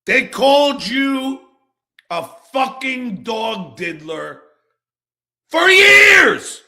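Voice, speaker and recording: Very slow-talking man on an angry rant. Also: very high-pitched, big pitch swings, gravelly, bright, forceful tone. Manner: confrontational, profane, emphatic with dramatic pauses. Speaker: online show host. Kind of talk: angry personal rant addressed to an absent rival.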